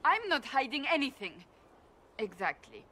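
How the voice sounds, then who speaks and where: Proud female game warrior: highish, clipped, very animated, faint noise. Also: moderate pace, full character voice. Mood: flustered, defensive. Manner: clipped, defensive, emphatic. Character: proud, gruff warrior woman, secretly romantic and embarrassed. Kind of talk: cinematic game dialogue acting.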